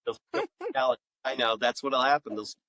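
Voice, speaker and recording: A middle-aged man speaks as a guest in a casual interview. His pitch is average and the sound is fairly dry. He explains things like an animated storyteller, voicing little quotes along the way. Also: fairly quick, no room sound. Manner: animated storyteller, quotes own thoughts and others' remarks. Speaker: author and audio drama director, interview guest. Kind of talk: casual conversational interview talk.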